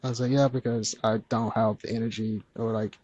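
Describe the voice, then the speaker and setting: A man speaking gently and earnestly as a podcast guest, with a soft, soothing voice. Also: on the low side, level tone, a little fast, dry recording, lo-fi sound. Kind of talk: candid conversational interview.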